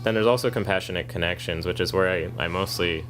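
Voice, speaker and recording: A deep, low-voiced man speaks in a flat monotone, a co-host who talks at length and likes to argue in casual podcast chat. Music plays clearly behind him. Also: fast talker. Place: kava bar.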